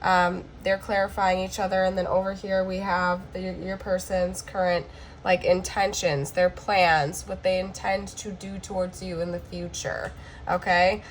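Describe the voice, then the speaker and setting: A woman recovering from the flu, with a hoarse, cracking voice, giving a chatty tarot card reading to camera and checking in often with "okay?". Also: on the low side, level tone, a little slow, slight background noise.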